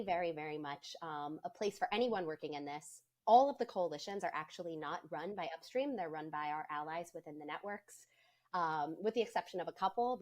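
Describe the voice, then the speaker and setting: A woman's voice: fairly low pitch, darkish tone. A woman introducing herself as a panelist, speaking earnestly and in a friendly way in long, jargon-filled sentences. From United States. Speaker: panelist, policy officer at a nonprofit.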